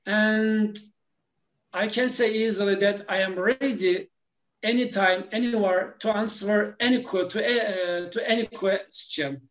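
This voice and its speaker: A man's voice: sits high, fairly even intonation, measured pace, fairly bright tone, roomy sound. A man, talking as a guest in a casual interview, hesitant with many fillers. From Turkey. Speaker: guest, language teacher.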